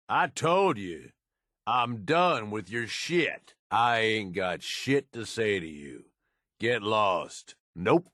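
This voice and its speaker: An actor voicing a gruff, cynical middle-aged American raider lieutenant in a video game, blunt and profane with a folksy, slangy drawl. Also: male voice, lowish voice, fairly bright tone, no echo, studio quality, heavily acted. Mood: hostile, dismissive. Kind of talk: video game companion dialogue.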